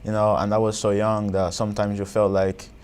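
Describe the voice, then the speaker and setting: A young man from Angola, a native Portuguese speaker, answering interview questions about his school years in halting phrasing. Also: lowish voice, monotone, darkish tone, smooth. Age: young adult. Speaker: former mentored student.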